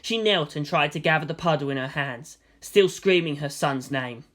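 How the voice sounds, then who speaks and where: Dramatic mid-pitched story narrator. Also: normal speed, a bit bright. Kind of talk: dramatic scripted fan fiction narration.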